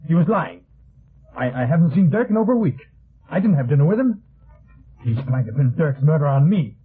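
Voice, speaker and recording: An actor playing a defensive, resentful heir in a 1950s radio drama, agitated and sharply protesting. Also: male voice, on the high side, big pitch swings, brisk, breathy edge. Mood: indignant. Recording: thin phone-like vintage radio recording.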